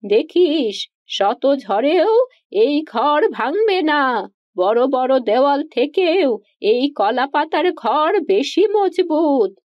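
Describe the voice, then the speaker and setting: A woman voicing a kindly old village woman in a cartoon fairy tale, speaking with slow, solemn, prophetic emphasis. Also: high voice, animated, dry recording, lo-fi sound, pitched above the performer's own voice. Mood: solemn, reassuring.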